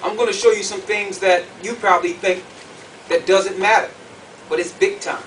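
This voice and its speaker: High-voiced male preacher, distant noisy recording. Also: fairly even intonation, moderate pace, fairly bright tone. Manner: emphatic, repeats phrases, puts questions to listeners. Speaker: preacher and Bible teacher. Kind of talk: sermon, conference teaching to a group. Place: conference room with an audience. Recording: distant mic, clearly noisy room recording.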